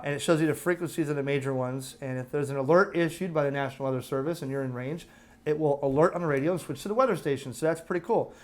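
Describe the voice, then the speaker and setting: Chatty American man, slightly high voice. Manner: chatty, explanatory, frequently checks in with "okay?". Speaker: product reviewer. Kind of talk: casual unscripted gadget review and unboxing.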